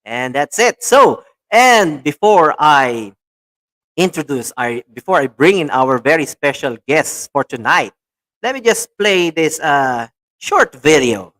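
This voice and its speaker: A man's voice: a little high, very animated, slow, a bit bright, poor audio. A man hosting an online talk show, enthusiastic and repetitive, with many fillers. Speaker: show host.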